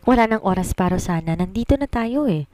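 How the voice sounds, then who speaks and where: A woman's voice: mid-range voice, animated, brisk, a little mellow, natural voice. A woman voicing a jittery, chatty young bridesmaid, dramatic and exclamatory, in a Filipino romance audio story. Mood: urging.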